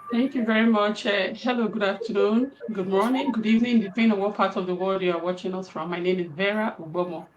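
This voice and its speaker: A woman's voice: mid-range voice, fairly even intonation, fast talker, few pauses, lo-fi sound. A woman speaks in a friendly manner, stumbling and repeating words now and then, with a mid-range voice. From Nigeria.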